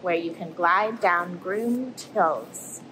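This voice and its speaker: A woman, reading a picture book aloud to children in an animated storytelling manner. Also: on the low side, takes it slowly, noisy background. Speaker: storybook reader and host. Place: indoors in front of an aquarium tank.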